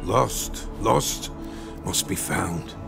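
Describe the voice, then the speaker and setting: An actor playing an eccentric old village soothsayer in a fantasy game, with creaky voice and archaic, riddling, repetitive speech. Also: male voice, sits low, lively intonation, drawn-out words, over music, exaggerated voice. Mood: cryptic, agitated. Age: older. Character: eccentric, batty old village soothsayer who sees ghosts. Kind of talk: video game fantasy acting.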